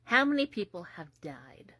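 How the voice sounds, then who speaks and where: A woman's voice: mid-range voice, big pitch swings, normal speed, a bit bright, breathy edge, dry recording. A woman giving sarcastic, incredulous political commentary from the United States. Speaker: commentary host.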